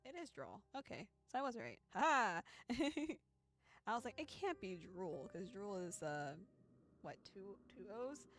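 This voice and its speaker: A woman's voice: mid-range voice, very animated, unhurried, a bit bright, faint music behind. A woman chatting casually on a livestream while she plays a visual novel, with playful, giggly asides. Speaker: VTuber streamer and voice actor.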